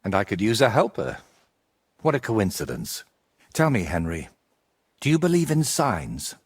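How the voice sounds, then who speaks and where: An actor playing an ambitious, vain medieval painter in a video game, speaking in an articulate, theatrical and persuasive way. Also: male voice, on the low side, wildly swooping pitch, moderate pace, slightly rough, heavily acted. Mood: earnest, yearning. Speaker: painter character. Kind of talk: video game cutscene dialogue.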